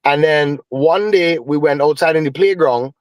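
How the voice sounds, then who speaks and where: Animated Trinidadian man telling a story. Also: a little high, moderate pace, dry recording. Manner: animated storyteller with Caribbean phrasing. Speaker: guest musician. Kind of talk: casual storytelling interview.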